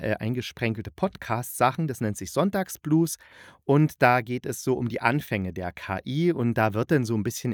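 A man's voice: mid-range voice, no echo, studio quality. A man talking casually and colloquially as a podcast host reviewing audio dramas, with a tongue-in-cheek way of putting things. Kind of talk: casual chatty review monologue.

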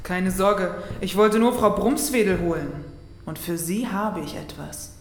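A woman acting in a crime radio play as a cannibal who poses as a male butcher, formal and calmly menacing. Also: lowish voice, measured pace. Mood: calm, sinister. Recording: echoey room.

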